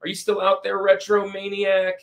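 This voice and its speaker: A man's voice: high voice, completely flat delivery, moderate pace, a bit bright. A man hosting a podcast in casual, informal chat with an enthusiastic manner. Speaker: podcast host.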